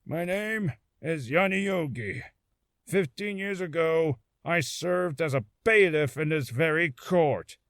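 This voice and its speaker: A man voicing a grimly vengeful, aging former bailiff from a video game, grave and deliberate. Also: on the high side, a bit bright, dry recording, clean studio sound. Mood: bitter, vengeful. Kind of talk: video game character voice acting.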